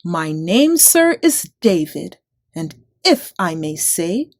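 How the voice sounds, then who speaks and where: A woman's voice: lowish voice, very animated, takes it slowly, no room sound, clean studio sound, full character voice. A woman voicing a tiny, spunky, fearless shepherd boy in a rhyming children's storybook, bold and declamatory. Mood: earnest, confident.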